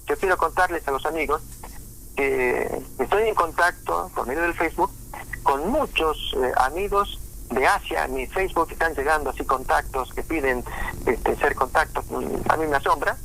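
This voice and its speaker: A man preaching informally in a halting way, with frequent restarts and fillers. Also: on the high side, gravelly, pushed and bright, a little hiss or hum, rough recording. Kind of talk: informal sermon talk on prophecy.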